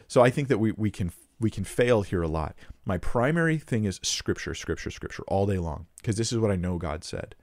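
Man, low voice, earnest casual teaching, emphatic, dry close-mic sound. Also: expressive, a little fast, hardly any pauses, a little mellow, no echo. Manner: earnest, frequent restarts, emphatic rises. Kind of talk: casual unscripted teaching talk.

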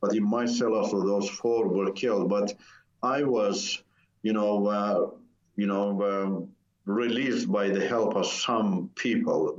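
Older man, native Turkish speaker, low voice, halting delivery, roomy echo, dull lo-fi audio. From Iran. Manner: hesitant, searching for words, many pauses. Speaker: interview guest. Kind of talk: personal testimony in a conversation.

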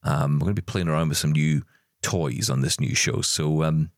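A man's voice: a bass voice, steady tone, moderate pace, no room sound, studio quality. A man co-hosting a podcast, chatting in an upbeat, rambling way. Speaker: podcast co-host. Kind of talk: casual podcast chat.

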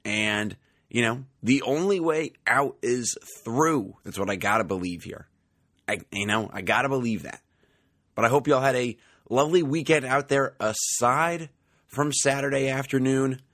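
A young man, hosting a sports podcast in a casual, chatty monologue, his voice slightly raspy. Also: mid-range voice, normal speed, fairly bright tone, no echo, clean studio sound. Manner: chatty, conversational, many fillers. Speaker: podcast host.

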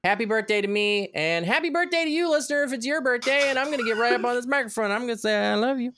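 A woman's voice: a little high, lively intonation, quick pace, background noise. A woman in her thirties co-hosting a podcast, talking in a playful, giggly, sing-song way. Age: 30s. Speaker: podcast co-host. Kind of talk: casual chat.

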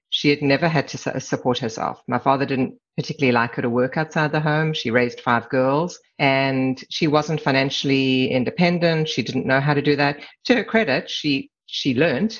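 The recording is a little dull and lo-fi. A middle-aged woman with a South African accent speaks as an interview guest. She is candid and measured as she reflects on personal matters. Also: extremely low pitch. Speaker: podcast guest, lawyer. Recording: slightly dull, lo-fi sound.

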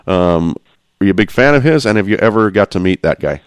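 A man hosting a casual podcast interview, relaxed and chatty, laughing often and swearing casually. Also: lowish voice, a little fast, clear, resonant tone, dry recording. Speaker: podcast host.

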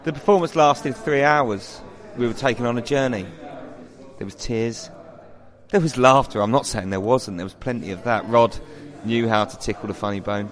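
A man's voice: medium pitch, moderate pace. A man from England co-hosting a theatre podcast, bantering and telling stories with mock-earnest drama. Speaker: podcast co-host, actor.

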